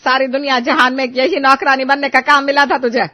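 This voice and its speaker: A woman's voice: high voice, little pitch movement, brisk, pushed and bright, voice pushed up. A woman voicing a proud, scandalized elderly mother-in-law who scolds, laments and taunts in a story. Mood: bitter, taunting.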